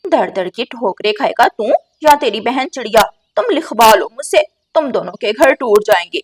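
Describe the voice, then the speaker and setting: A woman's voice: a little high, animated, normal speed, breathy edge. A woman voicing a scheming, mocking male crow in a children's cartoon, with a sly, taunting way of talking. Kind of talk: Hindi children's cartoon dialogue.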